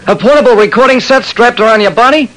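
A flippant radio parts clerk from an old radio drama. The voice is pitched very high and full of incredulous wisecracks. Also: male voice, quick pace, bright, forceful tone, low-quality recording. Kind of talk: old-time radio character acting. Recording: vintage radio broadcast sound.

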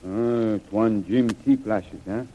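A man's voice: fairly low pitch, slow pace, mellow, a little hiss or hum. A man playing a deferential, secretive native servant and guide in clipped broken English, in an old radio adventure serial. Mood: guarded, deferential. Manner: clipped broken English, refers to himself in third person. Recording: muffled old radio recording.

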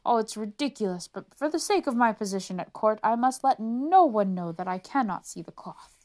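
A woman's voice: fairly low pitch, slow. A woman voicing an anxious male court officer muttering his worried thoughts.

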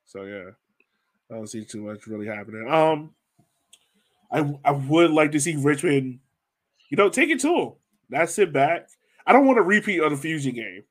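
A man's voice: on the high side, sing-song, normal speed, pauses often. A man hosting a soccer fan podcast in a casual, conversational style. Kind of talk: casual chat.

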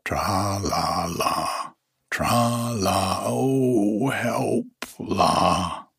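A man voicing a slow, simple, cheerful male bear who hums in a sing-song way as he reads a storybook aloud. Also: on the high side, big pitch swings, creaky, a little mellow, no room sound, pitched above the performer's own voice, exaggerated voice. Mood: humming. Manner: slow, sing-song humming, puzzled musing.

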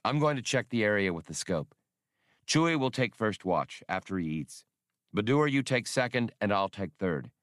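A man voicing a confident, bossy young smuggler captain in a space adventure audiobook, with a brisk, commanding manner. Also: lowish voice, sing-song, a bit bright, no room sound, studio quality.

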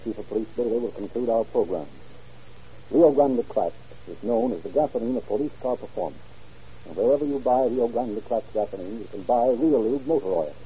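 A man's voice: mid-range voice, warm tone, a little hiss or hum. A man narrating and announcing in formal, scripted 1930s radio style. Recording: muffled old radio recording.

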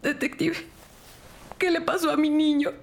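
A woman acting a stunned, grieving single mother in an audio crime drama, speaking in a halting, subdued way. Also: high voice, level tone, gravelly. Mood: distressed. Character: grieving single mother of a boy who just died.

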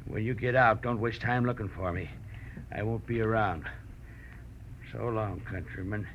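An actor playing a mocking villain in a 1940s radio drama. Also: male voice, on the low side, normal speed, natural voice. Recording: dull, faintly noisy old radio recording.

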